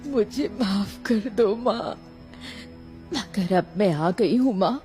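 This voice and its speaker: Woman as a mellow, remorseful young mother. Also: mid-range voice, takes it slowly, natural voice. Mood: remorseful, tender. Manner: earnest, emotional, gentle. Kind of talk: animated horror story acting. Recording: background music.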